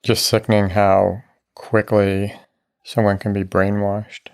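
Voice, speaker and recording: A man's voice: on the low side, little pitch movement, slow, creaky, smooth. A man co-hosting a casual podcast, reacting with dry, teasing remarks. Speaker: podcast co-host.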